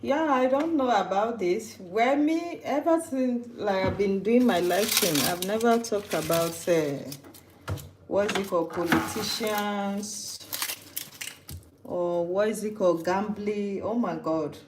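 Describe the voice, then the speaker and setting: A woman's voice: mid-range voice, unhurried. A Nigerian woman from Nigeria, hosting a live-stream cooking chat, teasing viewers and restarting her sentences. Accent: Nigerian English. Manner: chatty and teasing, with frequent restarts. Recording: echoey room with background noise.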